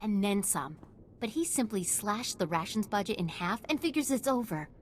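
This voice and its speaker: An actress playing a principled, plain-spoken military officer in a video game, frank and sardonic. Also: female voice, mid-range voice, big pitch swings, normal speed, slight background noise, lightly acted. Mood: indignant, sardonic.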